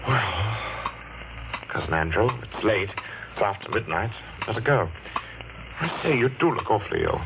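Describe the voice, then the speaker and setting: A man's voice: fairly low pitch, lively intonation, noisy background. A man acting in an old radio suspense play as a vengeful, precise clockmaker with a pedantic, coldly courteous manner. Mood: coldly polite. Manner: pedantic, deliberate, mock-solicitous. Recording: tinny, band-limited old radio recording, music behind.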